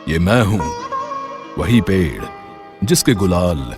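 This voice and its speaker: A man's voice: deep voice, big pitch swings, takes it slowly, heavily acted. A man voicing a wise magical talking tree in a fairy tale, solemn and instructive. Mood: solemn, mysterious. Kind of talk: Hindi fairy tale voice acting. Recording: music behind the voice.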